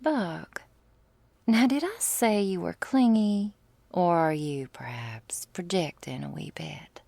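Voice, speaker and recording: A woman's voice: fairly low pitch, animated, slow, mellow, no room sound, exaggerated voice. A woman playing a teasing, doting female vampire lover in an intimate ASMR roleplay, with whispery asides and quaint, affectionate wording. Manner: breathy asides, pet names, quaint turns of phrase.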